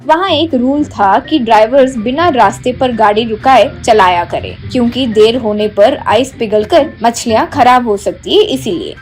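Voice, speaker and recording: ऊँचे सुर में कहानी सुनाती महिला. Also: female voice, fairly high pitch, animated, normal speed, hardly any pauses, faint music behind, poor audio. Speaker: storyteller. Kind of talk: scripted story narration.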